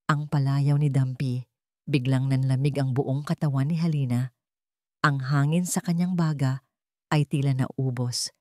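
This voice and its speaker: A woman's voice: low-pitched, darkish tone, dry recording, studio quality. A woman with a Filipino accent reading a scripted dramatic story as its narrator.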